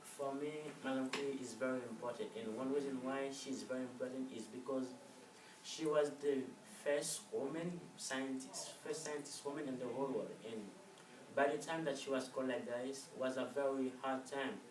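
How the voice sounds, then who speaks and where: Halting man presenting in a noisy room. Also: mid-range voice, steady tone. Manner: halting, repetitive, emphatic, non-native phrasing. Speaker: correspondent in amateur show. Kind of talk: informal spoken presentation. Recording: noisy echoey room, distant mic.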